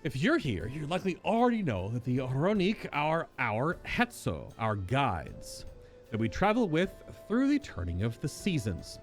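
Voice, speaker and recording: A man puts on a friendly herder of a nomadic fantasy tribe. The delivery is a welcoming, storytelling game read with a lively, swinging pitch over faint music. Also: moderate pace. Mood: earnest, explaining. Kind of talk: reading fantasy game dialogue aloud.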